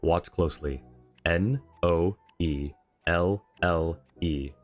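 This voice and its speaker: A man's voice: deep voice, slow pace. A man doing casual, jokey live commentary as a game streamer, reacting aloud as he plays. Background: game music.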